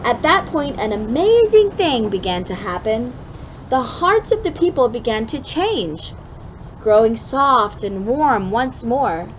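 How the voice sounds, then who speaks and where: A woman reading a children's story aloud expressively, drawing out key words with deliberate emphasis. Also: fairly high pitch, big pitch swings. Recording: dull lo-fi sound with clear background noise.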